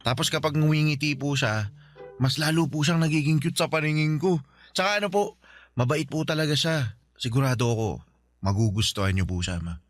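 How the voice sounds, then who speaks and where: An actor plays a cheerful young college man in a Filipino radio drama. His voice sits fairly high and boyish, with an eager, shy, respectful way of talking. Light music runs behind him. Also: brisk, lightly acted. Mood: bashful, smitten. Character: cheerful, bashful college-age son, respectful to his mother. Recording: background music under the voice.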